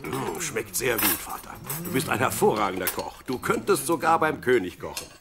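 An actor playing a plucky, devout young shepherd, the youngest brother, with earnest, dramatic delivery in an audio drama. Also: male voice, mid-range voice, sing-song, normal speed, close to an everyday voice. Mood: lively, good-humoured. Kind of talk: German audio drama acting. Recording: clearly noisy radio play with sheep sounds.

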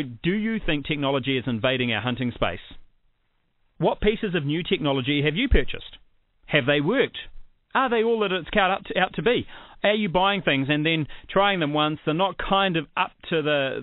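A man's voice: medium pitch, no echo. A man with a New Zealand accent hosting a hunting show in a casual, conversational way, posing questions to listeners and guests. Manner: conversational, asks many questions. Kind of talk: casual spoken commentary and interviewing. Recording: dull lo-fi sound.

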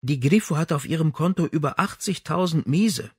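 A man's voice: a little high, animated, normal speed, breathy edge, dry recording, studio quality, voice pushed up. A man voicing a matter-of-fact female police officer with a direct, informal manner in an audiobook.

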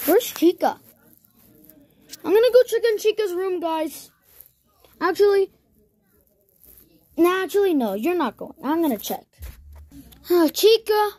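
A woman's voice: very high-pitched, lively intonation, a little slow, pauses often, a little mellow, dry recording. A woman improvising a dramatic toy role-play, narrating and speaking for the toys with childlike exclamations. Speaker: narrator and puppeteer of a plush-toy horror skit.

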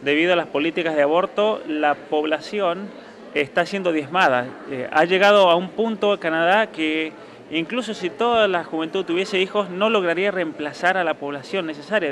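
Young male, Argentine accent blurred by years abroad, slightly high voice, very quick delivery, earnest interviewee. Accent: Argentine, softened by years abroad. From San Juan, Argentina. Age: young adult. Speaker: author being interviewed. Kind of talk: interview answers.

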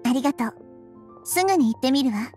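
A woman voicing a small childlike goddess in an anime game, composed, polite and gentle. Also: high-pitched, animated, fast. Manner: polite, composed, softly reassuring. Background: game music.

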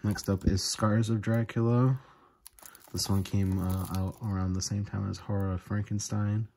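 A man with a very low voice talks casually over a tabletop unboxing. He sounds keen and chatty, filling pauses with small ums and uhs. A faint hiss sits under the recording. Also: level tone, gravelly, darkish tone. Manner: enthusiastic, frequent fillers. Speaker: video host. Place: indoors at a table, off camera.

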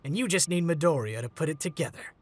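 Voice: mid-range voice, lively intonation, quick pace, close to an everyday voice. An actor voicing a glib, unruffled, teasing young trickster in a comic dub. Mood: teasing, smug. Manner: breezy, glib, conversational. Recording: music behind.

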